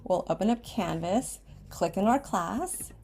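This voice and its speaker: A woman's voice: mid-range voice, animated, unhurried, darkish tone, slight background noise. An American woman teaching a step-by-step computer lesson for students, using simple instructional phrasing and often checking in with an okay. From Washington State, United States. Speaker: ESOL writing teacher. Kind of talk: step-by-step screen tutorial for students. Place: indoors at a computer, screen recording with webcam.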